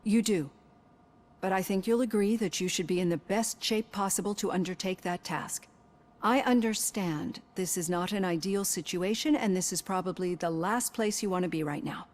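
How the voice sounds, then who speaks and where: An actress playing a composed, professional female psychologist in a video game, measured, tactful and polite but firm. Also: mid-range voice, lightly acted. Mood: calm, tactful. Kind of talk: video game cutscene acting.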